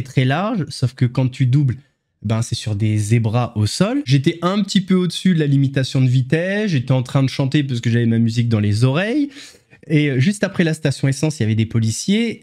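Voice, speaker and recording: A man's voice: mid-range voice, darkish tone. A man hosting an online commentary show, mocking his subjects with ironic asides. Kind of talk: sarcastic news commentary.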